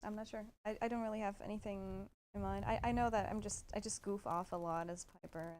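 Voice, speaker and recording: A woman's voice: mid-range voice, steady tone, fast, darkish tone. A woman chatting casually as a voice actor on a panel, with a playful, giggly manner. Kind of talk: casual chat.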